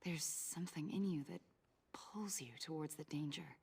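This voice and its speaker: Low, husky female agent. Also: normal speed, darkish tone, heavily acted. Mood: curious, probing. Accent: American. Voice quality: husky, breathy. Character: shrewd, probing young female government agent. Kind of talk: video game voice acting.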